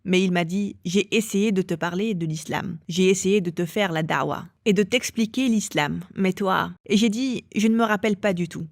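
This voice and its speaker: A woman telling her own story as an interviewee, in a calm and measured way. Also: medium pitch, no room sound, studio quality. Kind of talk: personal testimony.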